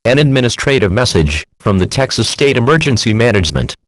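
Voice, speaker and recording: A man's voice: mid-range voice, very animated, a little fast, very noisy, close to an everyday voice. A man playing a stern, impersonal emergency alert announcer, reading a formal scripted broadcast. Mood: formal, official.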